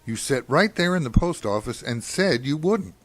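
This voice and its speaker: A man's voice: medium pitch, animated, moderate pace, lightly acted. An actor playing an uneasy, plainspoken farmer father with rustic, folksy speech in a radio drama. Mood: troubled, insistent.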